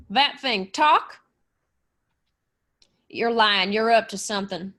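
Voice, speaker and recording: A woman playing a gruff, suspicious, excitable country sheriff in an old-style radio play. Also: mid-range voice, takes it slowly. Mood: disbelieving. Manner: brusque, disbelieving.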